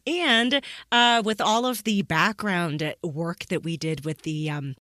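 A woman's voice: lowish voice, slow pace, pushed and bright, dry recording, clean studio sound. A woman hosting a podcast in a casual, chatty, amused and informal way. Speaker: podcast host.

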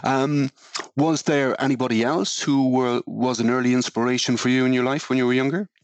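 A man hosting a business podcast, reading a formal guest introduction and asking questions in a measured, polite way. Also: mid-range voice, fairly even intonation, dry recording. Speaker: podcast host.

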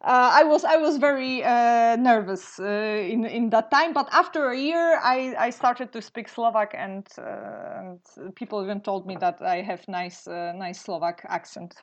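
A Polish woman in her thirties, a guest speaking in an interview, often pausing on fillers and restarting her sentences. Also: fairly high pitch. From Poland. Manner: hesitant, many fillers and restarts. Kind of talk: interview conversation. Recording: dull lo-fi sound.

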